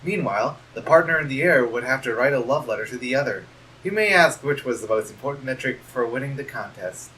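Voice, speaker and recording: A man voicing a kind, motherly goat woman who speaks slowly and properly and loves corny jokes. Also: mid-range voice, expressive, far from the mic, slight background noise, low-quality recording. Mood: sweet. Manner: slow, gentle and proper, fond of corny jokes.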